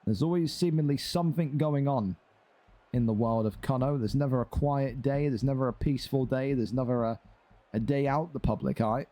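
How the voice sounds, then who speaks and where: A man's voice: medium pitch, warm tone. A man giving live, chatty commentary on an online boxing league, building up the fighters and bantering with viewers. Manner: chatty, hype-building, teasing asides to chat.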